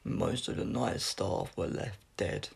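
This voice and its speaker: Gravelly, husky man reading a story aloud. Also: sits low, slow. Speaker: audiobook reader. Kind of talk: scripted narration of a science fiction story.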